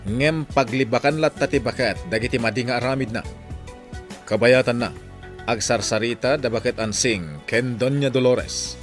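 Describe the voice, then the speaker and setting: A man's voice: mid-range voice, normal speed. A man with an Ilocano accent narrating a dramatic recap of a radio drama. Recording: music under the voice. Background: music.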